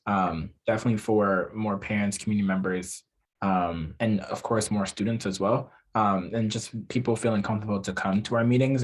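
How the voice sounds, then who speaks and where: A man's voice: lowish voice, slightly rough. A man on a committee giving a brief, agreeable comment with many fillers. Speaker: committee member. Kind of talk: meeting discussion.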